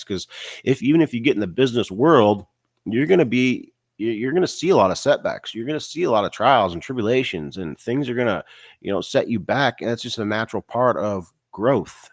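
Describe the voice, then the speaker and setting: A man's voice: medium pitch, lively intonation, a little fast, no echo, studio quality. A man with a stuffy, nasal voice from a cold, giving a casual motivational talk about mindset and business, often tagging on "right?" and "you know". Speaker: online business coach.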